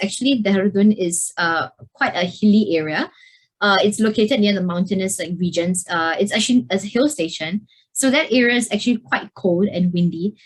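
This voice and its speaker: Young female student, hesitant presenter with lots of fillers, video call. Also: medium pitch. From Singapore. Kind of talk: presentation over slides.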